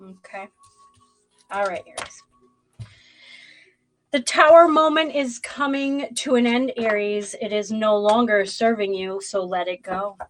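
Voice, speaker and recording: A woman with a mid-pitched voice reads cards for a live audience online. She is blunt and emphatic, speaking directly to the listener with a dramatic, informal delivery. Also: measured pace, long pauses, soft background music, poor audio. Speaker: tarot reader hosting a livestream. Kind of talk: informal live zodiac card reading.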